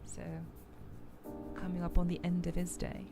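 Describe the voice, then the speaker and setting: A woman hosting a soft-spoken ASMR gaming livestream, chatting casually with viewers and murmuring hesitantly as she thinks aloud, half whispering at times. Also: on the low side, monotone, normal speed, gravelly. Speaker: VTuber livestream host. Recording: loud music behind the voice. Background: music.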